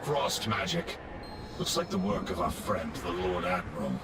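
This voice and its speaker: Grim undead knight commander, animated delivery. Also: male voice, deep voice, normal speed, heavy background noise. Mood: grim, solemn. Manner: solemn, formal military orders. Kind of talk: fantasy game voice acting. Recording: game audio under loud battle ambience, distant.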